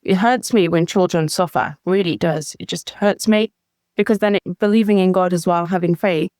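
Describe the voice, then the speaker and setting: A woman's voice: fairly low pitch, fairly even intonation, moderate pace, a little mellow, no echo, clean studio sound. A woman hosting a podcast, responding to her guest in an emotionally engaged, effusive way. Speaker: podcast host. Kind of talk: interview conversation.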